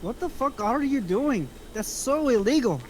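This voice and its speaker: A woman's voice: on the high side, expressive, moderate pace, a little hiss or hum, natural voice. A woman playing an anxious, nagging gangster sidekick at a screenplay table read. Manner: questioning, nagging.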